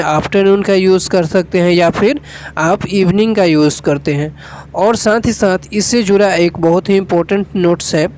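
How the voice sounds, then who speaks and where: A teacher talks through a spoken English lesson one step at a time. The voice sits at a middle pitch, and example phrases are spoken slowly and carefully before each is explained. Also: a little mellow, a little hiss or hum.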